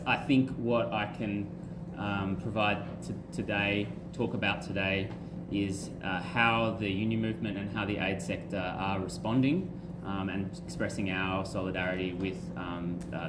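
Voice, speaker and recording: A man speaking at a campus rally, halting with many ums and restarts. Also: lowish voice. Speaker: rally speaker, aid worker. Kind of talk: public speech at a campus rally. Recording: echoey outdoor rally with noise.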